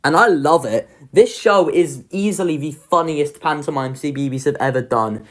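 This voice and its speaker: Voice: medium pitch, normal speed, fairly bright tone. A young adult giving a casual, enthusiastic ranking commentary, informal and happy to swear. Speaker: video creator ranking children's pantomimes.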